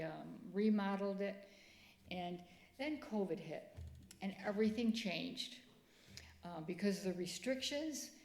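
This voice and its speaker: A middle-aged woman, speaking softly in a church presentation. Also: mid-range voice. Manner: soft-spoken, quiet voice. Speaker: ministry speaker. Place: church sanctuary. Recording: echoey church room.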